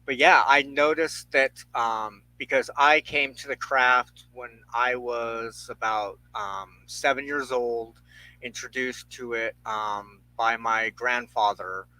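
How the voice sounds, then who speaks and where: A middle-aged man recalling personal history in casual conversation, halting with thoughtful pauses. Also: mid-range voice, steady tone, bright, forceful tone, rough recording.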